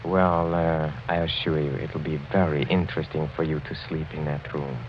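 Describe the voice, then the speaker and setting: An actor playing a suave, sinister older European count in 1940s radio style, courtly and insinuating, halting and chuckling softly. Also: male voice, very deep voice, flat delivery, a little hiss or hum. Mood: silkily menacing. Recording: muffled old 1940s radio recording, faint music.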